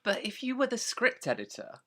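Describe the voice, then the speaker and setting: A man's voice: extremely high pitch, huge pitch swings, moderate pace, bright, forceful tone. A man co-hosting a podcast, chatting informally and giving blunt opinions with some swearing. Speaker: podcast co-host. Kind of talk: casual chat, opinionated review.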